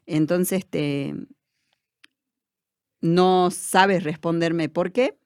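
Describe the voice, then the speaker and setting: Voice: mid-range voice, fairly even intonation, very slow, a little mellow, clear, resonant tone, no room sound, clean studio sound. A person with an Argentine Spanish accent, speaking as an interview guest in a reflective way, with many fillers and restarts.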